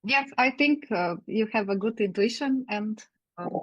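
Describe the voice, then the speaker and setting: A woman's voice: mid-range voice, animated, no echo, lo-fi sound. Woman moderating an online Q&A. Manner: friendly, halting, with fillers. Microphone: video call.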